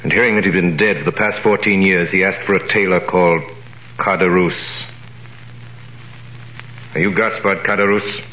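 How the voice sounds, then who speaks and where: Grave mysterious stranger, very deep voice, old radio play, echoey vintage broadcast. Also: male voice, steady tone, normal speed, long pauses, pushed and bright, a little hiss or hum. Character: grave mysterious stranger, the returned Dantes in disguise. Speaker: narrator and lead actor. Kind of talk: 1930s radio drama acting.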